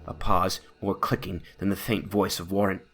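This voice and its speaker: A man's voice: sits low, flat delivery, normal speed, a bit bright. A man playing a shaken, horror-stricken witness, narrating gothic testimony in a grave, theatrical way. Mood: haunted anguish. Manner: theatrical, grave, swelling into anguished cries. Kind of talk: dramatic horror reading. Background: music.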